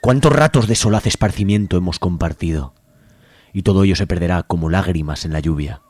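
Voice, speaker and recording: A man's voice: deep voice, a little fast, a little mellow. An actor playing a lovestruck, overwrought young man in a comic radio serial. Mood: mournful, solemn. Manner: overwrought, theatrical. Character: lovestruck, melodramatic young man, desperate to win his beloved.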